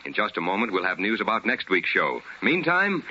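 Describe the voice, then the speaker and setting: A man working as a polished, formal radio announcer and host, delivering 1950s sponsor pitches like a salesman. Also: very animated, a little fast, sharp tone. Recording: dull, noisy vintage radio recording.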